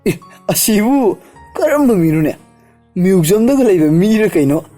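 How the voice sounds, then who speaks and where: A man's voice: high-pitched, animated, rapid-fire, warm tone, soft background music. A man playing a nosy, sardonic village youth in an audio drama. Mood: mocking.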